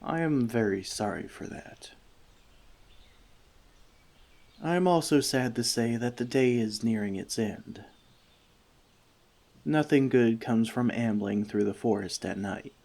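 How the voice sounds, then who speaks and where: A gentle, long-lived forest elf, played by a man in an ASMR fantasy roleplay. He speaks softly and slowly, with a creaky edge to his voice. His wording is measured, slightly formal and thoughtful. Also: medium pitch. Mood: apologetic. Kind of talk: soft-spoken ASMR roleplay addressed to the listener.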